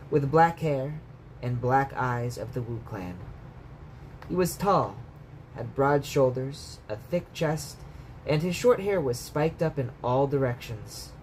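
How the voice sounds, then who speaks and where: A man's voice: medium pitch, a little mellow, rough recording. A man reading a bedtime story aloud as a storyteller, in scripted narration. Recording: clear background noise.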